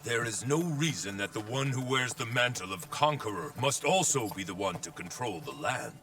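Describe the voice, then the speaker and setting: A man playing an ambitious, commanding warlord in a video game, speaking in a grand, measured, declamatory way. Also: mid-range voice, expressive, a bit bright, no echo, far from the mic, natural voice. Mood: solemn, visionary. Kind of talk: dramatic video game voice acting.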